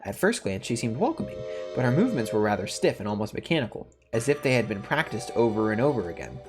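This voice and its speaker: A man's voice: medium pitch, big pitch swings, fast. A man hosting a gaming video, reading a visual novel's narration aloud and dropping in casual asides. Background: faint music.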